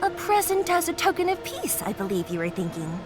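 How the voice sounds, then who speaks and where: Perky monster girl, game character, high pitch, lively swings, bubbly, theatrical. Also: a little fast, music in the background. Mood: cheerful. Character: perky, outgoing female Digimon, a helpful moderate Titan. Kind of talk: English video game voice acting.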